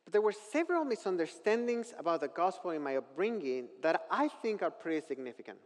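A man's voice: sits high, sing-song, quick pace. Mexican man preaching in a reverberant hall. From northern Mexico. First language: Spanish. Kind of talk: sermon with scripture reading.

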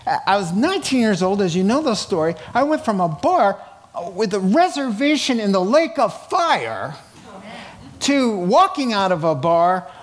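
Middle aged guy preacher w a pretty high voice, chatty sermon, tells it like a story and acts out the quotes. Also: measured pace. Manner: animated storyteller, acting out quoted dialogue; conversational, informal. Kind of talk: sermon, reading scripture and retelling it in colloquial, dramatized asides.

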